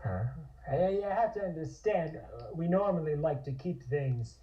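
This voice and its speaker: A man acting a guarded, courteous 1920s family-business envoy in a table read, measured and wary. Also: a little high, expressive, lightly acted. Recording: dull, lo-fi remote-stream audio.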